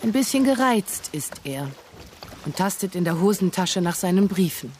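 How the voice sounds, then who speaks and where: A woman's voice: medium pitch, expressive, normal speed, a little mellow, slight background noise. A woman narrating a literary radio drama in scripted, descriptive prose. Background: music.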